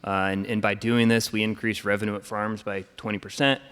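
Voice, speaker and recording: A man's voice: on the low side, fairly quick, clear, resonant tone. Man explaining on a panel, hall reverb. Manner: relaxed explaining, filler-heavy. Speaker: panelist, company founder. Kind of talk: panel talk.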